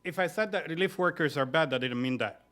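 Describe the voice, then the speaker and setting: A man on a conference panel answering an audience question about relief work, speaking in a measured way with many pauses. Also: fairly high pitch, a bit bright. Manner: measured, with frequent filler pauses. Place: conference room.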